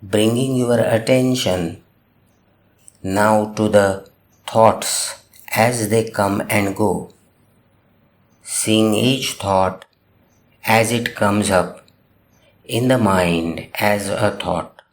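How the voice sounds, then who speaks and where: A man narrating a guided meditation, giving gentle instructions in short, measured phrases with long pauses between them. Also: fairly low pitch, steady tone. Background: music.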